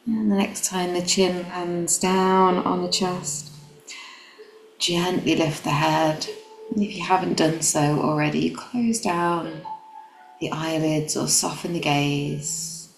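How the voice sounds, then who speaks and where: A woman guiding a meditation in a gentle, calm, instructional manner. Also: fairly low pitch, takes it slowly, creaky, background noise. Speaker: meditation guide. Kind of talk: guided meditation. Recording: roomy echo, music behind. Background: background music.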